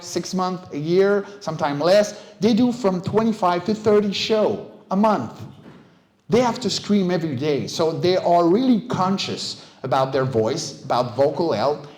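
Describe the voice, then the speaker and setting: A middle-aged man, a native French speaker, giving an informal talk as a guest speaker and voice coach. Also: on the high side. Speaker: guest speaker, voice director and vocal stunt coach. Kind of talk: casual conference talk. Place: indoor game developer meetup venue. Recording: roomy, echoing event space.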